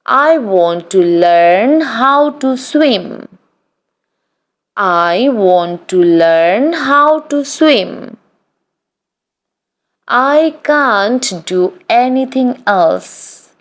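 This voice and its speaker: Very slow, deliberate woman reading drills. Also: a little high, animated. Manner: deliberate, evenly spaced, each sentence read twice. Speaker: English practice reader. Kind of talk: slow read-aloud drill of practice sentences.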